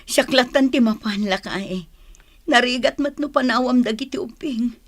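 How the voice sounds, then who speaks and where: Woman acting a high-voiced worried village wife. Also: moderate pace, lightly acted. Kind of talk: Ilocano radio drama acting.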